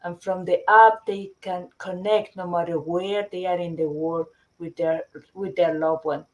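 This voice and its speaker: A middle-aged woman from Chile, a company founder presenting her product in a webinar, often pausing with fillers and restarting sentences. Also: fairly low pitch, fairly even intonation, dull audio. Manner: hesitant, many fillers and restarts.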